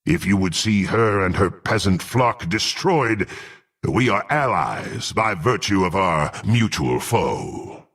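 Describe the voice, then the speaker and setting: A menacing, proud half-orc fighter from a fantasy game. He talks slowly and formally in a gruff, husky voice, swinging from growls to haughty commands. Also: deep voice, lively intonation, fairly bright tone, full character voice. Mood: grim, menacing. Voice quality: gruff, gravelly. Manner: haughty, threatening, formal archaic speech. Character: menacing proud half-orc warrior sworn to dark patron. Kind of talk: dramatic fantasy game voice acting.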